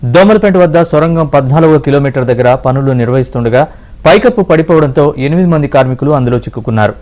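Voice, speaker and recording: Quick, formal male news presenter. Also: mid-range voice, animated, a little mellow. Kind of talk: scripted news reading.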